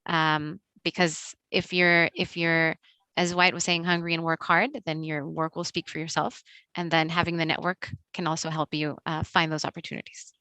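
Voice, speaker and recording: A woman's voice: low voice, flat delivery, moderate pace, bright, forceful tone, dry recording, rough recording. A woman speaking as a finance panelist on a video call, agreeable and building on what others said.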